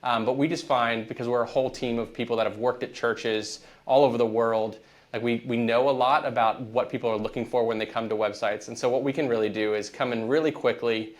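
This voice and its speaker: Relaxed American man, conversational. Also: medium pitch, fairly quick. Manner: relaxed, conversational, frequent fillers. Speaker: guest, company founder. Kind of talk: casual interview talk.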